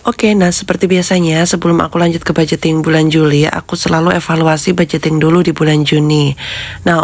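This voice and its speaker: A woman vlogger with a hoarse, congested voice from a cold, chatting with viewers as friends and steadily reading out figures. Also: low voice, no echo. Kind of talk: casual budgeting vlog talk.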